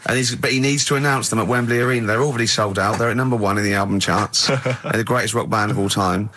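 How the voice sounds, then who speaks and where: Male radio co-host, southern English, punchy bright voice, excitable and irreverent comic banter. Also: on the low side, fast, slight background noise. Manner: excitable, irreverent, repeats phrases.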